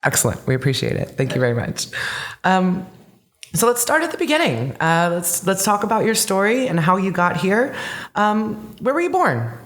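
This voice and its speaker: A woman's voice: medium pitch, big pitch swings, moderate pace. A woman hosting a podcast interview, asking questions with hesitant restarts. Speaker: podcast host.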